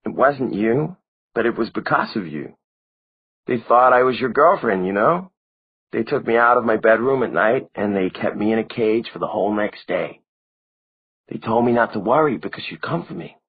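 A man reading an audiobook who voices a small, girlish teenage vampire girl with a soft, breathy, eerily sweet manner. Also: lowish voice, lively intonation, moderate pace, phone-quality sound, pitched above the performer's own voice. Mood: wistful.

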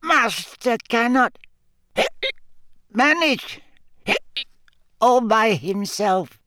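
A man voicing a drunken, tearful little female house-elf who squeaks, coughs and hiccups as she talks. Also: lively intonation, extremely slow, sharp tone, no echo, clean studio sound, heavily acted.

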